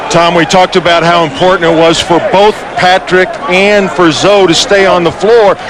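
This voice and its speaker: A man's voice: sits high, level tone, moderate pace. A man giving live color commentary on a basketball broadcast. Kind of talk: live sports analysis. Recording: noisy broadcast with audible crowd. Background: crowd and arena noise.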